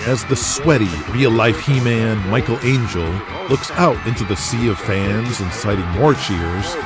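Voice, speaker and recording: A man delivers dramatic scripted narration for an audio drama. His voice is on the low side, intense and emphatic, riding over a loud musical score. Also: a little slow. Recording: loud music bed under voice.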